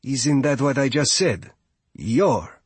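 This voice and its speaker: A man's voice: mid-range voice, lively intonation, slow, slightly rough, no room sound. A man voicing a polite, earnest young woman adventurer in a storybook, apologetic in manner. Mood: polite curiosity.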